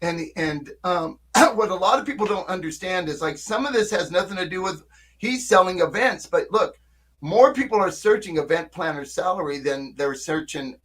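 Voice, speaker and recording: An older man with a slightly raised voice talks at length in a relaxed interview. He is emphatic and keeps checking in with his listener as he explains how his agency works. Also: high-pitched, normal speed. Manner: talkative, emphatic, frequent "right?" check-ins. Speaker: marketing agency owner. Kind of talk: casual interview chat.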